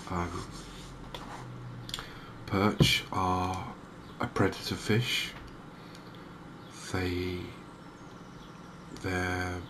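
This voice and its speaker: A man speaks softly and low, taking his time as he commentates on a game in an ASMR style. His phrasing is measured and hesitant, with drawn-out gaps. Clear background noise runs under the voice. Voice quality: soft-spoken. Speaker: gaming video host.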